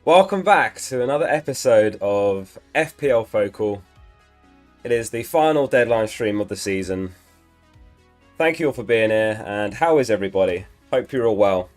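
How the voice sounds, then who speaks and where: Male live-stream host, slightly lost voice, low register, casual and chatty. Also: lively intonation, normal speed, faint music behind. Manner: reads out chat messages, chatty and upbeat. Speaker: livestream host.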